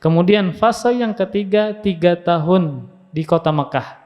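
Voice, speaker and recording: A man's voice: high-pitched, measured pace. A man giving a didactic religious lecture as an Islamic teacher, repeating key phrases for emphasis and quoting Arabic. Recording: muffled, long hall reverb.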